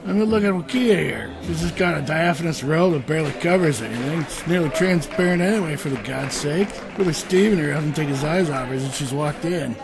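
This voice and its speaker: A man's voice: a little high, brisk, vocal fry, few pauses, crowd noise. A man acting a wisecracking, skeptical adventurer who leads his band in a comic fantasy audio drama, talking casually and sarcastically. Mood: sarcastic. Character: wisecracking, skeptical, down-to-earth male adventurer leader. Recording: music under the voice.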